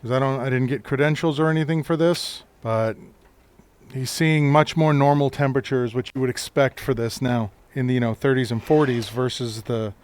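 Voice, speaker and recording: A deep-voiced man, a computer repair technician, talking through a live-streamed repair at his shop bench in a relaxed, chatty way and answering his chat. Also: moderate pace, clean tone.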